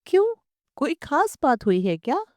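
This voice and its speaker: A woman's voice: sits high, big pitch swings, normal speed, darkish tone, no room sound, clean studio sound, voice pushed up. A woman voicing a concerned male friend in an audiobook story, questioning and advising sensibly. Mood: concerned, reasoning. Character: concerned, reasoning male friend, gently dissuading.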